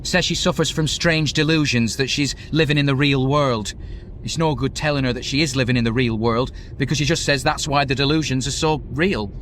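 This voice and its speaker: A man's voice: mid-range voice, fairly quick, a bit bright, lightly acted. An actor playing a blunt, impatient, exasperated British driver in a radio comedy drama, grumbling as he goes. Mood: gruff, dismissive. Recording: faint vehicle noise behind. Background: car interior noise.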